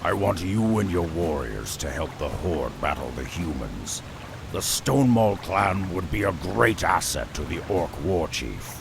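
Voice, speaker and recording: An actor playing a stoic, proud half-ogre warrior in a video game, speaking solemnly and deliberately. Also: male voice, deep voice, sing-song, vocal fry, background noise, full character voice. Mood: earnest. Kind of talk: video game cutscene acting.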